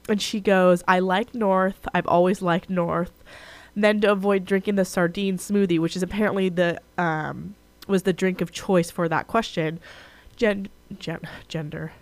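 A young woman talks loosely through a solo college radio show, piling up "like" and "um" as she goes. Her voice is stuffed-up and nasal, with a little rasp from being sick. The sound is dry and close, with no room around it. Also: medium pitch, dry recording. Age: young adult. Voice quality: a little raspy. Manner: chatty, frequent "like" and "um", restarts. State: congested and nasal from illness. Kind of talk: casual solo radio chat.

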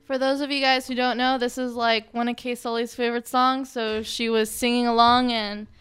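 A woman's voice: on the high side, level tone, normal speed, a bit bright, smooth, faint music behind. A young woman hosting a casual radio show, chatty and joking, with a lot of filler words.

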